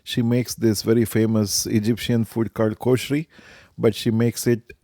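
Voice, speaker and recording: A middle-aged man, answering family interview questions in a measured, reflective way. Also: mid-range voice, flat delivery, mellow, no room sound, clean studio sound. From India. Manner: measured, reflective, some hesitations. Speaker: interviewee, stepfather.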